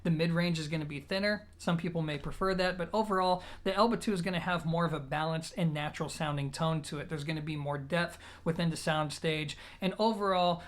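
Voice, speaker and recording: A man presenting an audio gear review, talking conversationally and directly to his viewers. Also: on the high side. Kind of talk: product review talk to camera.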